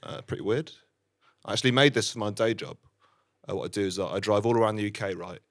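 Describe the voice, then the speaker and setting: A man performing live musical stand-up on stage, talking in an awkward, understated, halting way. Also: fairly low pitch. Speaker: musical comedian with keyboard. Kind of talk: live stand-up with spoken comic songs. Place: live stage before an audience. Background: keyboard music.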